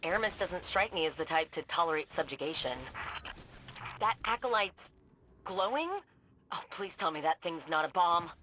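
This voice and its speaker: A woman playing a wry, sharp-witted scientist who guides a mission in a video game, speaking in a dry, informal, alert way. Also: lowish voice, expressive, normal speed, slight background noise, close to an everyday voice. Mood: wary, wry.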